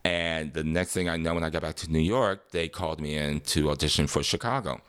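A man with a very deep bass voice, bright and smooth in tone. He tells his career stories in an animated way and jumps into other people's voices as he goes. He is from Detroit and talks about life on stage. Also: a little fast, no echo. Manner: animated, acts out quotes and laughs often. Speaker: Broadway performer and guest. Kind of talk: casual storytelling interview.

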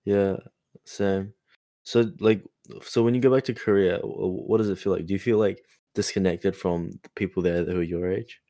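A teenage boy, co-hosting a casual chat in a steady, thoughtful way and asking follow-up questions. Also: deep voice, moderate pace, no room sound, studio quality. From New Zealand. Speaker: co-host.

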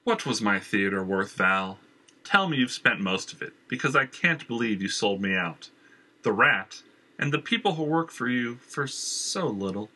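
A man's voice: mid-range voice, lively intonation, normal speed, pushed and bright, breathy edge, full character voice, low-quality recording. A man voicing a smug, mocking theater owner. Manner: mocking, falsely wounded.

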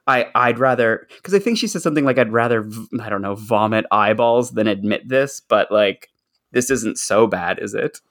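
Man, lowish voice, podcast co-host, casual chat, halting with repeated words. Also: fairly bright tone.

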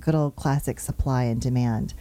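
Woman, show host, low pitch, mellow tone, brisk and upbeat, reels off numbers, studio. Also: steady tone. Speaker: show host and real estate agent. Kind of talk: chatty show monologue on market figures.

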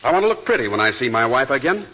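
Husky, menacing escaped killer. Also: male voice, medium pitch, sing-song, rapid-fire, pushed and bright, exaggerated voice, poor audio. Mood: quietly menacing. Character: menacing escaped murderer, bitter husband, mockingly polite. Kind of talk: 1940s radio drama acting. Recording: vintage 1940s radio broadcast.

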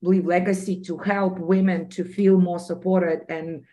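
Low-pitched Russian-accented woman, earnest. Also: little pitch movement, unhurried, dark tone. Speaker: podcast guest, entrepreneur. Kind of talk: conversational interview.

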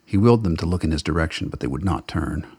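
A man narrates a radio drama in a very low voice with plenty of gravel in it. The delivery is brooding and literary, and the sound is close and dry, though the words come out somewhat husky. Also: expressive, fast talker, darkish tone, no echo. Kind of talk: dramatic scripted narration of fiction.

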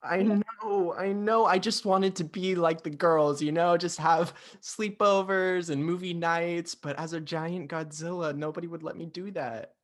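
A man's voice: fairly high pitch, steady tone, unhurried, few pauses, voice pushed up, lightly acted. A man playing a bashful but boastful giant Godzilla posing as a teenage girl in an improvised comedy sketch. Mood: sheepish.

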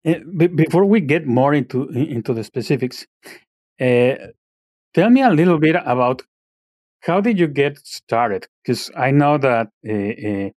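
A man hosting an interview, asking questions conversationally and a little haltingly, repeating words as he goes. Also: mid-range voice, darkish tone, no room sound, clean studio sound. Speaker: interview show host.

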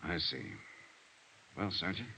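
An actor playing a sharp, confident American insurance investigator in a 1950s radio drama, brisk and clipped. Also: male voice, low voice, husky, exaggerated voice. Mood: probing, reflective. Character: sharp, confident male insurance investigator, hard-boiled detective. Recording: dull, dry 1950s radio recording.

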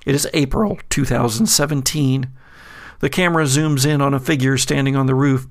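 A man narrating a gothic tabletop horror game as game master, measured in description and hesitant when he turns to the players. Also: mid-range voice.

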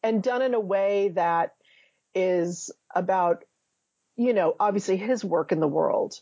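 A woman's voice: medium pitch, unhurried, warm tone, no echo, highs cut off. A woman in her fifties, a memoir author answering interview questions thoughtfully, searching for words and restarting often. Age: 50s.

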